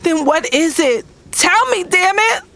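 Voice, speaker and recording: A woman's voice: extremely high pitch, lively intonation, unhurried, fairly bright tone, exaggerated voice. A woman with an American accent playing an emotional, insistent young girlfriend in an audio drama. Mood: upset, insistent. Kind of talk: first-person dramatic narration and dialogue in audio drama.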